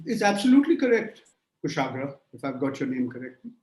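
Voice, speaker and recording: A man's voice: medium pitch, sing-song, fast talker, a little mellow, echoey room. A man in his sixties answering audience questions in a lecture, emphatic and rhetorical, piling up phrases in lists. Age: 60s. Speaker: guest speaker. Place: remote call from a room.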